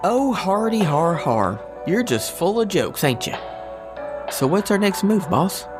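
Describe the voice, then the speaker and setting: A man's voice: high-pitched, sing-song, unhurried, over music. A man playing a loyal, good-natured and goofy agent with a folksy, joking manner in an audio drama. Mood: joking, easygoing. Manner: folksy, warm-hearted, joking.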